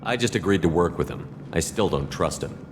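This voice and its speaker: An actor voicing a steady male commander in a video game, asking direct questions. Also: sits low, brisk, music in the background. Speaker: player character. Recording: game audio with long reverb and clear hiss.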